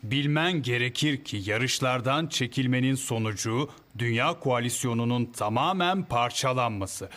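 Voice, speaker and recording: A man's voice: mid-range voice, a bit bright, natural voice. Solemn, imperious president played by a man. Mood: grave, insistent. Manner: measured, grave, authoritative. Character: solemn, imperious male head of state. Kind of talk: animated series dub in Turkish.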